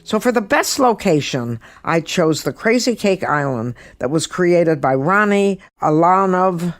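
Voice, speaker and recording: A woman's voice: lowish voice, animated, measured pace, dry recording, clean studio sound. A woman hosting a podcast, presenting and commenting in an emphatic way, often repeating words for stress. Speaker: guest podcast host.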